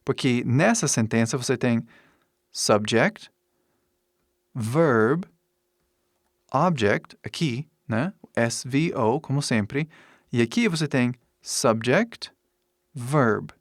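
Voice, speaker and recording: A man with an English speaker's foreign accent, a native English speaker, teaching in a deliberate way with pauses between short phrases and example sentences. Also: mid-range voice, lively intonation, dry recording, clean studio sound. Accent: English-speaking foreigner's accent in Portuguese. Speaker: English teacher giving an online lesson. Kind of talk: instructional lesson with example sentences.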